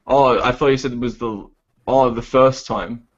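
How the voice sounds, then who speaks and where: A man's voice: medium pitch, fairly even intonation, moderate pace, muffled recording. A man, a podcast guest who talks hesitantly, full of ums and restarts. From Australia. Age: 20s. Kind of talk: casual podcast chat. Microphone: online call.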